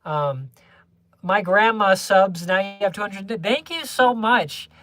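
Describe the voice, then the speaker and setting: A young adult livestreamer chatting casually with viewers, rambling through many restarts and small laughs in a low-key, homebody way. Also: expressive, takes it slowly, a bit bright, dry recording.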